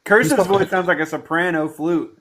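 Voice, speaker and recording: A man's voice: high voice, normal speed, fairly bright tone, slight background noise. A man hosting a livestream, teasing and roasting a caller in casual chat. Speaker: livestream host.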